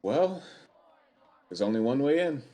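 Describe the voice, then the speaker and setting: An actor in a radio play playing an earnest, polite, easily flustered amateur sleuth, hesitant in an old-time style. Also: male voice, mid-range voice, sing-song, a little slow, warm tone, a faint crowd, a little hiss or hum. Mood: earnest, guarded.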